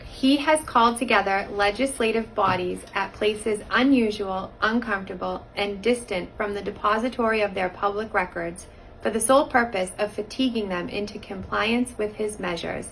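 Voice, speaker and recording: A woman's voice: mid-range voice, moderate pace, a bit bright, low-quality recording. A woman reading a formal historical document aloud. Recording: faint background noise.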